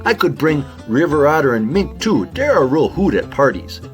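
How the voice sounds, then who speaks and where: Friendly folksy beaver , male actor, homely talker, hugely varied pitch; kids' audio drama over music. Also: big pitch swings, normal speed. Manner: folksy, repeats "oh yeah" and "hey".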